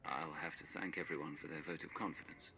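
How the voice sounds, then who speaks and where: Bright, forceful heroic commander. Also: male voice, extremely low pitch, fairly even intonation, a little fast, vocal fry, a little hiss or hum, full character voice. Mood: dry. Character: heroic male commander, earnest, resolute. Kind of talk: dramatic game cutscene acting.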